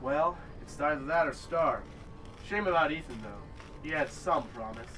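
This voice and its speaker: An actor playing a cruel gang enforcer who delivers calm, taunting, deliberate threats in a dramatic film. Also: male voice, fairly high pitch. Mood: cool, dismissive. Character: menacing, coolly cruel male gang enforcer. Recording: distant mic under loud music.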